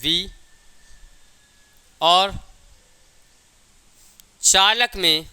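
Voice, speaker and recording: A male teacher delivers a lecture in a very bright, crisp and fairly high voice. He dictates definitions, repeats key phrases, and poses questions that he answers himself. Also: drawn-out words. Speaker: physics teacher.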